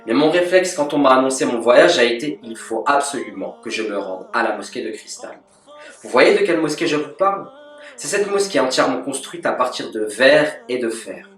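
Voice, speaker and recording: Calm, measured man with roomy sound. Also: mid-range voice. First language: French. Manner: calm, measured, devotional delivery with Arabic formulas. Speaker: religious teacher. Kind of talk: scripted voice-over lesson. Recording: echoey, from a distance.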